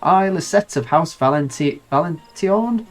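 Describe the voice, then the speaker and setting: A man's voice: on the high side, animated, moderate pace, faint music behind, voice pushed up, close to an everyday voice. A man with a nasal cold voicing a grand, romantic noblewoman in a game, reading her lines in flowery, theatrical speech. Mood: grandiose.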